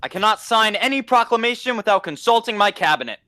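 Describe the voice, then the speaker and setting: Young man as a high, pompous mock President. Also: lively intonation, fast talker, pushed and bright, no room sound. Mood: grand, excited. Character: pompous deluded man who thinks he is President. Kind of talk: radio play acting.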